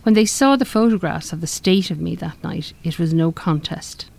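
A woman's voice: lowish voice, normal speed, creaky, a little mellow. A woman playing a guarded, wry survivor of violence in a live radio play. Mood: evasive, wry. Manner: evasive, wry.

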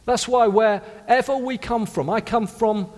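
Earnest South Welsh preacher, high voice. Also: male voice, measured pace. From South Wales. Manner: earnest, swings from loud emphasis to hushed intimacy. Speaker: pastor. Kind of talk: sermon. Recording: long reverb of a large hall.

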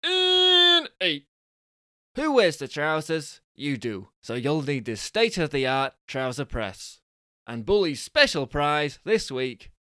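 A man's voice: fairly high pitch, very animated, a little slow, a bit bright, dry recording, clean studio sound, voice pushed up. A man playing a brash game show announcer who punches out prize reveals in an exclaiming manner. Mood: showy, excited.

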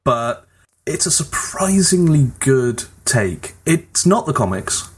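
A man's voice: medium pitch, sing-song, slow, slightly rough. A man hosting a casual group chat show, talking in an informal, conversational way. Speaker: podcast host. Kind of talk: casual group chat about films and games.

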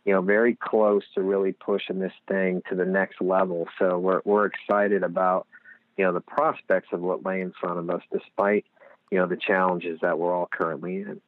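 A man's voice: low-pitched, little pitch movement, normal speed, no echo. A man with a Lehigh Valley Pennsylvania accent, a guest on a podcast interview, explaining his work earnestly with many "you know" fillers. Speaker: guest, nonprofit executive director. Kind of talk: interview answers. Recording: tinny phone-line audio.

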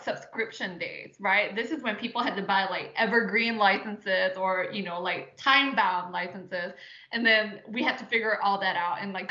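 A woman in her thirties talks freely as an interview guest, full of fillers and quick laughs. Her voice sits a touch high and sounds bright. The recording is roomy and dull. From Singapore. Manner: chatty, frequent fillers, laughs often. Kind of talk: casual interview conversation.

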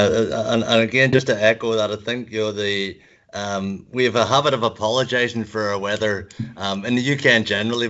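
A man's voice: lowish voice, a bit bright. A man from university recruitment chatting casually in a panel discussion, stumbling over repeated words as he goes. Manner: hesitant, many repeated words and restarts. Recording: dull lo-fi sound.

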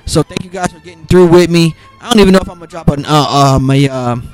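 Raspy, under-the-weather man podcasting. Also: on the high side, fast, a little mellow, faint music behind. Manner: loose, rambling, many fillers and restarts. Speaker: podcast host making football picks. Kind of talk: casual solo podcast.

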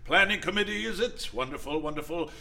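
An actor playing a flustered, officious deputy minister in a satirical audio drama, speaking formally with hesitant stammers. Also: male voice, a little high, animated, a little fast, vocal fry, pushed and bright, exaggerated voice. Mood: effusive, ceremonious.

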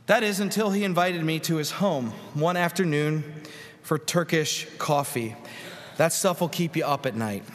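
A middle-aged man preaches a sermon in a church sanctuary, telling a story earnestly with pauses and repeated words. His voice sits somewhat high for a man. The hall around him lets each phrase trail off in a long reverb. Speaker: pastor.

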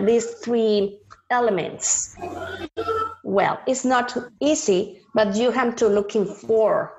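A woman's voice: on the high side, lo-fi sound. A woman with a Mexican Spanish accent and Spanish as her first language. She is an actress in a podcast interview, searching for English words and slipping into Spanish.

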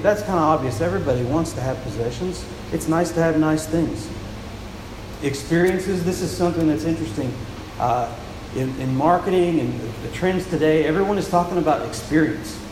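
A soft-spoken man preaches into a handheld mic, his voice sitting a little high for a man. He often repeats words as he feels his way through each point. The sound rings around a large, echoing hall with steady background noise. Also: moderate pace. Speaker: pastor. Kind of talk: sermon with scripture reading. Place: church with a large echoing room. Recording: echoey, reverberant hall with noise.

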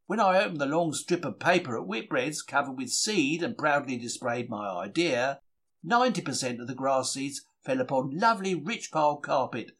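A man's voice: medium pitch, a bit bright. A man reading a humorous diary aloud in a colloquial, characterful storytelling manner. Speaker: audiobook reader.

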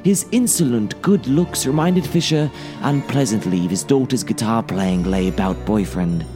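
A man narrating a story in a theatrical, expressive, dramatic audiobook style. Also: mid-range voice, moderate pace. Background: music.

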